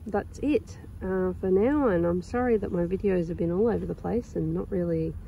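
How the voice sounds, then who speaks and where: A young woman with a croaky sore throat, chatting casually and rambling with lots of ums while filming her garden outdoors on a phone. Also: lowish voice, moderate pace, muffled recording, a little hiss or hum. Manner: rambling, chatty, with many ums and soft laughs. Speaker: gardening vlogger. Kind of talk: casual chat.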